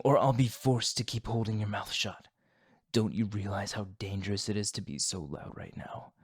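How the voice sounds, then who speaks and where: Guy acting as a polite worried vampire dude, low voice, slow formal talk, asmr roleplay. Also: no room sound, clean studio sound, exaggerated voice. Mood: urgent, commanding. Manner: formal, polite, hushed and breathy asides, sighs. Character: courteous, anxious young male vampire, protective and formal. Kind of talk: ASMR roleplay, scripted monologue to the listener.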